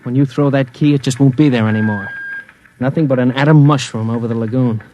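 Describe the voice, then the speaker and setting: An actor playing a dutiful junior military aide with an earnest delivery in a vintage radio drama. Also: male voice, mid-range voice, fairly even intonation, fast, warm tone, slight background noise, lightly acted. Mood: sober.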